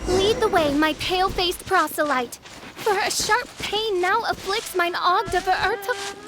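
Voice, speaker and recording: A woman's voice: very high voice, measured pace, noisy background. A woman playing a haughty teenage girl with grandiose delusions in a video game, speaking in pompous, archaic flourishes. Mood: pompous, dramatic. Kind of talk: video game character acting. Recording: loud music behind studio voice.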